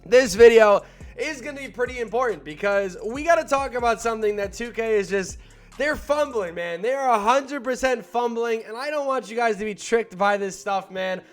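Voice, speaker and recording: Young gamer dude talking fast and hyped, pretty high voice, bit stuffy from a cold, music low behind him. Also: male voice, expressive. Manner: hyped, slangy and rambling, with filler words. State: still a little stuffed up from a cold. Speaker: gaming YouTuber. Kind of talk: casual gameplay commentary.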